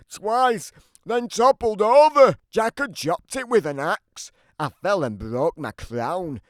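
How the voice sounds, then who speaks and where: A man's voice: a little high, no room sound, studio quality. A man voicing a big, grumpy, always-hungry giant who tells his side of a children's storybook in a theatrical, emphatic way. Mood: wry, sulky. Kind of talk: scripted children's storybook narration.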